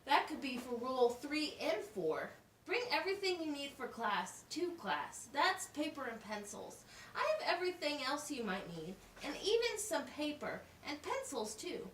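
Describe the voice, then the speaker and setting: High, lively woman teaching in a roomy space. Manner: instructive, simple wording with deliberate enunciation. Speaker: puppeteer playing a teacher. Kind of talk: slow, scripted classroom puppet show. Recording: roomy, distant mic with faint noise.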